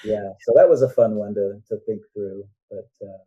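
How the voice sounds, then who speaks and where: A man's voice: fairly low pitch, normal speed, warm tone, breathy edge, muffled recording. An older man talking as a guest author in an interview, explaining his thoughts earnestly in a conversational way.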